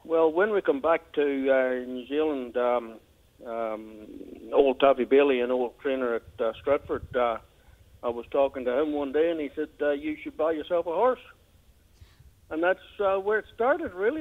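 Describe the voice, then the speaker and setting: An older man with a Northern Irish accent, a harness racing trainer, answering in a laconic, halting way with a dry sense of humour. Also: fairly high pitch. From Northern Ireland. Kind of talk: phone interview. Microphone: phone. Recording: tinny phone line.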